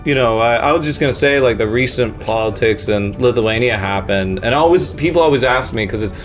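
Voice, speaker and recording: A man's voice: medium pitch, brisk. A man from the United States hosts a laid-back podcast with an easy mid-range voice. He rambles through his points and breaks into laughter as he goes. Faint music sits under the talk. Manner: rambling and jokey, laughs often. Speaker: podcast host. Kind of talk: casual comedic chat.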